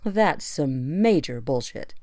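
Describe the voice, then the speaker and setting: A woman's voice: deep voice, expressive, takes it slowly, darkish tone, dry recording, clean studio sound. A woman playing a weary, guilt-ridden pony analyst in an audio drama, curt and bitterly sarcastic. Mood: bitter, indignant. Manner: sardonic, curt.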